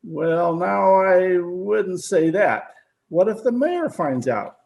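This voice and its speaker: An actor playing a mild, cautious small-town druggist in a radio comedy, with a creaky voice and a hesitant, understated delivery. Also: male voice, high-pitched, animated, slow pace, darkish tone, full character voice. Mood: worried. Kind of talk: old-time radio comedy acting. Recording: home video-call recording with clear sizzling noise.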